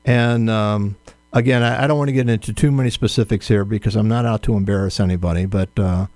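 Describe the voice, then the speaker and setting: A man answering interview questions as an author, in a measured, firm, explanatory way. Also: on the low side, steady tone, darkish tone, no echo.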